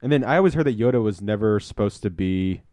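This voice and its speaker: A man's voice: fairly low pitch, lively intonation, fast, mellow, a little hiss or hum. A man chatting casually as he comments on a film for a podcast.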